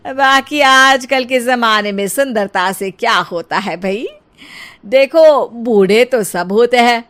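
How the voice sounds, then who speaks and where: A woman's voice: a little high, fairly bright tone. A woman voicing a boastful, doting older mother-in-law in a cartoon, coaxing and emphatic. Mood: flustered, preachy.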